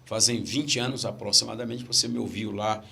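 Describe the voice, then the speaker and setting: A man's voice: fairly low pitch, steady tone, fairly quick, gravelly, sharp tone. A middle-aged man from Brazil, a pastor with a stammer, telling stories in casual podcast chat and repeating his key phrases.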